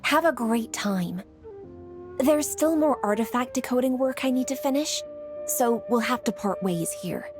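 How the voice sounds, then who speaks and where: Game role: poised young official lady, articulate, formal, slightly high register, light music underneath. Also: sing-song, measured pace. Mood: composed, informative. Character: poised, dutiful young female city official. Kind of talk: scripted video game dialogue.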